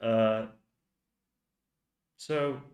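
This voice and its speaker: Finnish guy streaming, real slow and stop-start, roomy echo all around him. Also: medium pitch, steady tone, darkish tone. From Finland. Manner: slow, halting, rhetorical questions. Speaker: channel host. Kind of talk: livestream commentary reading chat.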